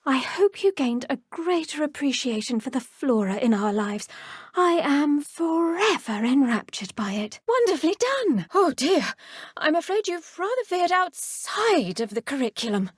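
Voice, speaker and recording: A woman playing a cheerful, gentle young British professor of herbology in a video game, with an encouraging, genteel and effusive way of talking. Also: high voice, no room sound, studio quality. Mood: kindly, instructive. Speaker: teacher. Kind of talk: video game character dialogue.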